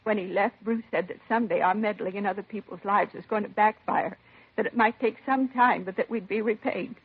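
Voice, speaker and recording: A woman's voice: mid-range voice, brisk. An actress playing a composed middle-aged mother of a slain son in a 1950s radio crime drama, answering plainly and with restraint. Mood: agitated. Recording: vintage 1950s radio, narrow husky sound.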